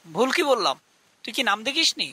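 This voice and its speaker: A man's voice: high-pitched, big pitch swings, a little fast, bright, forceful tone, husky, dry recording, full character voice, rough recording. A man voicing an eager young ghost-hunting TV reporter in a scripted cartoon. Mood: breezy, teasing. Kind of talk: scripted cartoon dialogue and show presenting.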